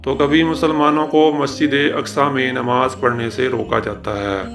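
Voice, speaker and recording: A man giving a scripted religious history narration in a formal, measured manner with ornate literary phrasing. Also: medium pitch, flat delivery. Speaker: narrator and presenter of a religious history video series. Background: background music.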